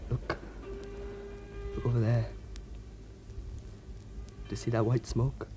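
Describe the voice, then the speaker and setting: An actor playing a young coal miner, intense and stammering, moving from defiant shouts to broken whispers. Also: male voice, medium pitch, flat delivery, slow, over music, lightly acted. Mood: distraught. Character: desperate young male coal miner, emotional. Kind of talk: film drama acting.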